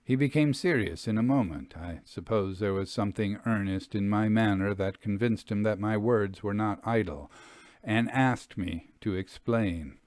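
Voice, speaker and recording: A man reading a literary story as an audiobook narrator, in a measured and deliberate way. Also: on the low side, a little mellow, no room sound, clean studio sound.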